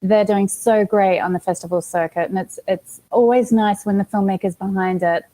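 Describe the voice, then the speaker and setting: Female interview guest, Australian accent, mellow and relaxed tone, conversational with thoughtful pauses. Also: mid-range voice. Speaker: interview guest, actress and producer. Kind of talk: casual interview chat.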